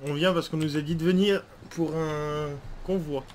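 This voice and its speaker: A man with a noticeably high voice talks casually as he plays a roleplay game. He is excitable and jokey, and faint noise sits behind him. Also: normal speed. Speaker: gaming video host and player.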